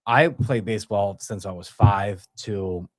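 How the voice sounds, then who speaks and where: A man's voice: on the low side, measured pace. A man co-hosting a podcast, chatting casually with an easygoing, teasing manner. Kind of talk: casual chat.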